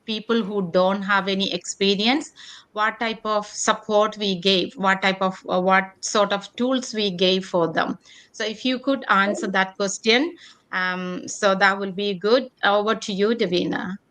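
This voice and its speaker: A woman hosting an online panel discussion, speaking in a halting way that often circles back over phrases. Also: medium pitch, steady tone, a bit bright. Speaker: host.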